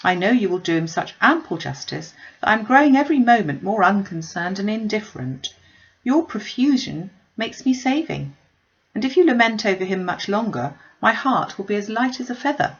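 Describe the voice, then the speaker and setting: A woman's voice: mid-range voice, big pitch swings, normal speed, muffled recording, pitched above the performer's own voice. Woman acting a spirited, wry young Regency lady. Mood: teasing. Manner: wry, articulate, emphatic. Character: witty, spirited, self-possessed young lady of Regency England. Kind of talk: dramatised classic novel reading.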